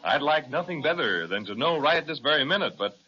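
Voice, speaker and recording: A man's voice: on the high side, expressive, a little fast. A man announcing an old-time radio serial, speaking directly to the listeners. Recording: muffled old radio recording under loud music. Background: loud music with violin.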